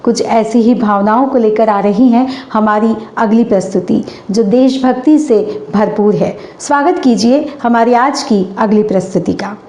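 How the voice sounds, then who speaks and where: Hoarse woman reciting anchoring lines. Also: a little high, warm tone, reverberant. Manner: formal and declamatory, slowing for verses. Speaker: channel host demonstrating a stage anchoring script. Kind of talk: recited stage anchoring with poetic couplets.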